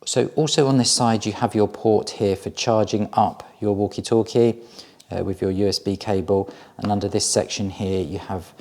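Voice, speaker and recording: A man with a low, soft-edged voice talks through a product review. He sounds practical and explanatory, demonstrating features informally as he goes, with a dark, relaxed tone. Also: normal speed.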